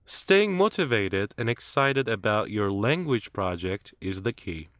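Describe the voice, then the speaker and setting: A man reading a scripted listening-test script in a measured, clear way, as narrator and interviewee. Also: lowish voice, sing-song, a bit bright, no echo, studio quality.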